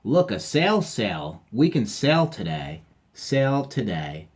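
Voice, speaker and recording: A man voicing an eager, bossy female dog who plays pirate, speaking loud and excited in a higher voice. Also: a little slow. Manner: loud, excited, sing-song.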